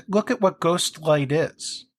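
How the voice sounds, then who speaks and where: A man interviewing a guest conversationally, in a measured, deliberate way. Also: a little high, no room sound. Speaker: interviewer, playwright.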